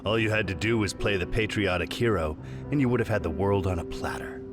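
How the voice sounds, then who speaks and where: A man's voice: fairly low pitch, a little fast, music in the background, lightly acted. An actor playing a detached, condescending middle-aged male scientist in a video game, speaking in a clinical, matter-of-fact way. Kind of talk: scripted video game cutscene acting.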